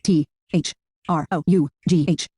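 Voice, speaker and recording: A synthetic male screen reader voice announcing interface text in a flat, robotic way. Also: fairly high pitch, a little slow, breathy edge, no echo, clean studio sound.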